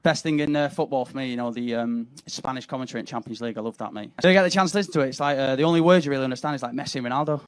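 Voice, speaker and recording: A man doing a stand-up comedy bit on stage, lively and playful, mimicking frantic goal commentary with nonsense syllables. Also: mid-range voice, rapid-fire, hardly any pauses, clean tone.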